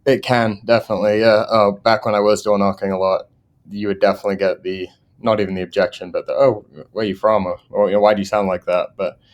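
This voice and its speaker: An Englishman in his thirties speaks low and even, with little rise or fall in his voice. His accent has drifted partway toward American. He tells stories as a podcast guest and laughs often along the way. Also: quick pace. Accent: English, partly Americanized. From England. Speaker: guest, solar sales manager. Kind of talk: casual podcast interview.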